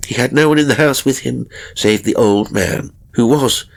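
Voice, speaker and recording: A man voicing a timid, anxious novelist who recounts a haunting in a measured, theatrical horror audiobook style, with a gravelly, husky voice. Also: medium pitch, pitched above the performer's own voice, natural voice. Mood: sympathetic, sombre. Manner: measured, theatrical, hesitant pauses. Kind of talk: dramatic first-person horror audiobook narration.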